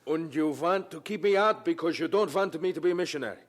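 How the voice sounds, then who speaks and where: Radio drama role: male actor as devout, defiant young son, pleading, slightly high lively voice with a rough edge. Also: quick pace, lightly acted. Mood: challenging. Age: young adult. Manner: pleading, insistent questioning.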